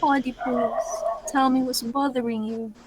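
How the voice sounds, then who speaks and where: A woman playing a reassuring queen and wife in a readers' theatre Greek tragedy, reciting her lines deliberately. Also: sits high, little pitch movement, slightly rough, no room sound. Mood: concerned.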